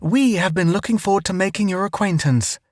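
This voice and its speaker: A woman's voice: mid-range voice, fairly quick, darkish tone, no room sound, studio quality, pitched above the performer's own voice, natural voice. A man voicing a bossy, scheming young woman explorer who is sweetly polite one moment and flustered the next. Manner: gushing, grandly polite, then flustered.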